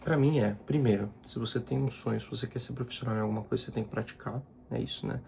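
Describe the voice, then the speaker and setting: Dude in his 20s, gravelly low-key mellow voice, chatty vlog talk, keeps repeating stuff, a little faint noise behind. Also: steady tone, fast. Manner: informal, repeats himself, slangy asides. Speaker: content creator. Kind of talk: casual personal vlog talk.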